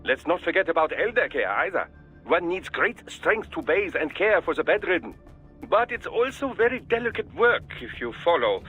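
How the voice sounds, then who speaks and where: Fussy, high-pitched, husky scientist. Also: male voice, big pitch swings, normal speed, bright, forceful tone, muffled recording, faint music behind, full character voice. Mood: enthusiastic, lecturing. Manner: formal, verbose, precise, over-polite. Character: genial, fussy, erudite male scientist, the mission doctor. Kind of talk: video game voice acting.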